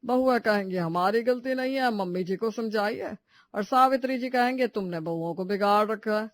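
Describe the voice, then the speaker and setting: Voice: medium pitch, moderate pace, no room sound, natural voice. An actor voicing a put-upon middle-aged father-in-law in a family story, grumbling wryly. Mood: weary grumbling. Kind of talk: animated Hindi family story dialogue.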